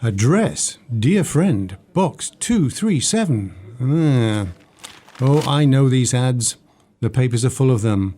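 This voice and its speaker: The mellow confidant at the next counter in a radio play a man acting, friendly, teasing and knowing, his tone rising and dipping expressively. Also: mid-range voice, lively intonation, takes it slowly, slight background noise. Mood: wry, intrigued. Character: friendly, wry, worldly male shop colleague.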